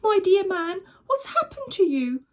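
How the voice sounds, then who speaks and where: Woman acting a shrill, panicky storybook wife. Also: big pitch swings, unhurried, full character voice. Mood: shocked. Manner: wailing, stammering dismay.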